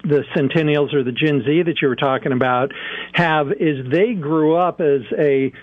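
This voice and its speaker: A middle-aged father on a call-in show, speaking in a measured, thoughtful way, with a few restarts. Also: on the high side, dry recording. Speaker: parent guest on a call-in show. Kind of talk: reflective conversational talk. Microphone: telephone. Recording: tinny phone line.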